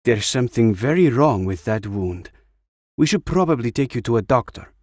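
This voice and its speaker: A man voicing a brisk, teasing, exasperated older woman in a narrated story. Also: medium pitch, animated, darkish tone, no echo, studio quality, pitched above the performer's own voice, natural voice. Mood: brisk, scolding.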